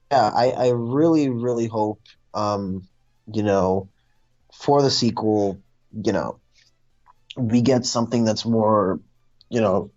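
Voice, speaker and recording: A man's voice: on the low side, darkish tone. A man in a casual movie discussion, speaking hesitantly as he thinks aloud. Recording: dull, lo-fi sound.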